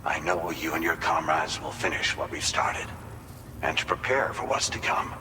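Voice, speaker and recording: Composed commander, deep male voice, measured, reassuring, video game dialogue, reverberant hall. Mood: solemn, encouraging. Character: composed male commander, solemnly encouraging his ally. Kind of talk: scripted game cutscene dialogue. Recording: long reverb, clear background ambience noise.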